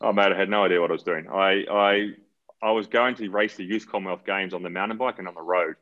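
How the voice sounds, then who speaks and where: A very low-voiced man with a New Zealand accent talks easily and at length as an interview guest. He is relaxed and loose, often doubling his words. The audio is dull and lo-fi. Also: quick pace. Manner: relaxed, rambling, repeats words. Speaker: guest, cyclist. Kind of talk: casual interview talk.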